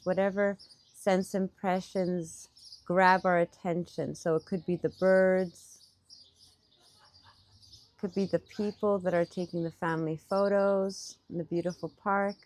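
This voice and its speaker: A woman leading a guided meditation as a teacher, with gentle, pausing phrasing, speaking outdoors. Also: medium pitch, steady tone. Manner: unhurried, gentle phrasing with pauses. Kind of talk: guided meditation, softly instructive and partly improvised. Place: outdoors in a park. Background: birdsong.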